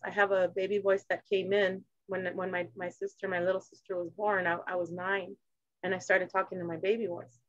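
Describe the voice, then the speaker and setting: A woman from Arizona, a guest in a casual talk, telling her story in a halting way with many restarts. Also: medium pitch, no echo. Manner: halting, restarts, animated when quoting others. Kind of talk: casual personal conversation. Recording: dry, dull lo-fi sound.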